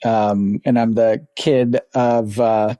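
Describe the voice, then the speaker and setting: Mellow-voiced middle-aged man telling his story. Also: medium pitch, fairly even intonation, takes it slowly, dry recording. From Sri Lanka. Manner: reflective, rambling, with little laughs. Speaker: interview guest, company founder. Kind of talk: casual storytelling interview.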